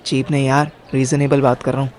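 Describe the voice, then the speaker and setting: A man's voice: medium pitch, level tone, brisk, darkish tone. A young man narrating a first-person audio drama in colloquial Hindi mixed with English words. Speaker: narrator and lead character.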